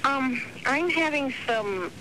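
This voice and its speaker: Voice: sits high, lively intonation, slow pace. A prankster voicing a meek, polite woman caller, speaking hesitantly on a phone call to a radio show. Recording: slightly noisy telephone call to radio.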